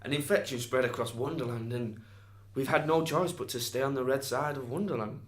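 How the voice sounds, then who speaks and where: A man's voice: medium pitch, fairly quick, lightly acted. A man playing an eccentric, grumbling friend from a fantasy land in a radio drama, with theatrical exclamations. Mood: indignant.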